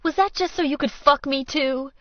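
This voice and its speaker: A woman's voice: high voice, moderate pace, no room sound, dull audio, voice pushed up, natural voice. A woman narrator voicing a hurt, angry young woman with a quavering, accusing delivery in an audiobook romance. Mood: furious, hurt. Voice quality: wobbly, quavering. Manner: emotional, accusing, crying out. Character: hurt, angry young woman confronting her lying lover.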